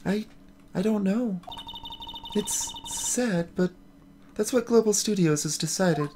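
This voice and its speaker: A woman voicing a meek, apologetic young woman in a video game, soft-spoken and hesitant. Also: mid-range voice, slow, slight background noise, natural voice. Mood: resigned, apologetic. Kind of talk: voiced visual novel game dialogue.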